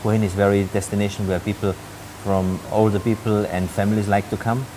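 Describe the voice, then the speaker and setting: A man's voice: fairly low pitch, flat delivery, brisk, background noise. A middle-aged man from Austria with an Austrian German accent, a hotel general manager answering interview questions hesitantly, with many fillers and restarts.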